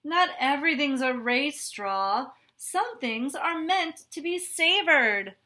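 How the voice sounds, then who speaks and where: A woman voicing an easygoing, wise straw in a storybook, giving gentle, unhurried advice. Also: high-pitched, lively intonation, heavily acted. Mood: advising. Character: easygoing, wise straw who takes the long way.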